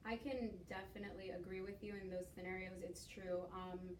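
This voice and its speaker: A woman's voice: medium pitch, steady tone, normal speed, a little mellow. A young woman from Miami leads a class presentation conversation, hesitant with many fillers. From Miami, United States. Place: skills lab room. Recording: roomy echo, mic at a distance, faint noise.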